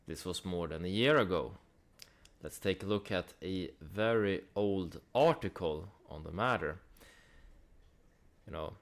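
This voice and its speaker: A man giving casual investment commentary in a conversational way, with rhetorical questions and dry asides. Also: low-pitched. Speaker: host of a stock analysis channel.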